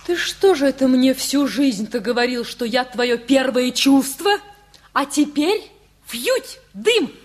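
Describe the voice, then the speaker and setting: An actress playing a bossy, sharp-tongued wife of about thirty in a radio play, scolding and sarcastic. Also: female voice, high voice, animated, takes it slowly. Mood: indignant.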